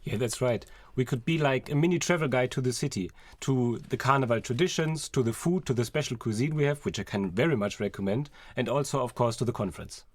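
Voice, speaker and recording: A young man with a mid-pitched voice talks through ideas as a podcast co-host. He is reflective and explanatory, recorded close and very dry. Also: brisk, hardly any pauses, no room sound. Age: young adult. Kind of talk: conversational podcast discussion. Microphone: close podcast microphone.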